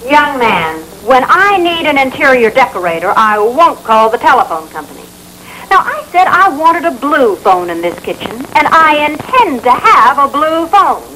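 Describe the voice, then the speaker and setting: An American actress playing a fussy, sharp-tongued housewife customer, haughty and scolding in an old training film. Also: female voice, high voice, sing-song, normal speed, heavily acted. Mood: haughty, firm. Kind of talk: 1960s training film acting. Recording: noisy old film soundtrack.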